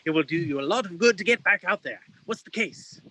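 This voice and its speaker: Man acting a high-voiced, prim bar owner. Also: expressive, brisk, sharp tone, a little hiss or hum, poor audio. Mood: politely curious. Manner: formal, genteel, hesitant politeness. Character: polite, prim, well-spoken male bar owner. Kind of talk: noir comedy stage acting.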